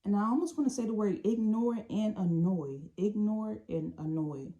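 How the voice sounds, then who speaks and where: A woman giving an intuitive tarot reading, talking in a calm, meandering way and often restarting or repeating phrases. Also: mid-range voice, normal speed. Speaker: tarot reader.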